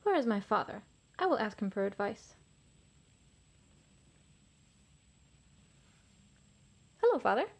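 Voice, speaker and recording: A woman's voice: a little high, big pitch swings, quick pace. A woman voicing a tiny young male T. rex, earnest and determined, in a storybook read-aloud.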